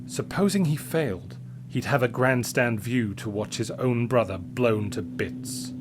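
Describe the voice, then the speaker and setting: A man's voice: medium pitch, unhurried, over music. A man narrating an adventure story in a scripted, dramatic style.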